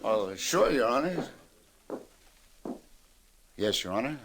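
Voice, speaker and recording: An actor playing a world-weary but kindly New York detective in a 1940s radio drama, plainspoken and a little hesitant. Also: male voice, medium pitch, sing-song, takes it slowly, slight background noise, heavily acted. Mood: deferential. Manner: hesitant, folksy, wistful, plainspoken. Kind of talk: 1940s noir radio drama acting and narration. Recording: vintage radio drama with loud music.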